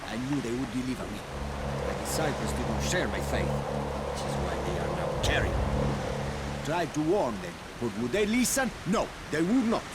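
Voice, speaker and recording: An actor playing a devout, grandiose and slightly unhinged missionary in a video game, speaking in formal, rambling, preachy phrases. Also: male voice, mid-range voice, huge pitch swings, moderate pace, very noisy. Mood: fervent, grateful. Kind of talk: video game cutscene acting. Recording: loud music over the voice.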